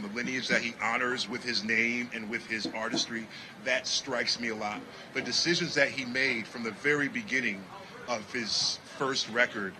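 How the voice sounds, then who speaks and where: A man from Connecticut, a jazz musician talking in a casual festival interview with animated, preachy energy. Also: medium pitch, noisy background. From Connecticut, United States. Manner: animated, preachy, repeats "you know what I mean".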